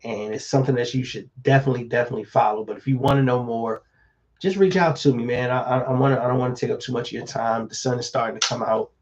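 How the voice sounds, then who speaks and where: A man, slightly hoarse, giving casual real estate advice in a relaxed, conversational way with many fillers. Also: medium pitch, fast talker. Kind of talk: casual live-stream advice talk.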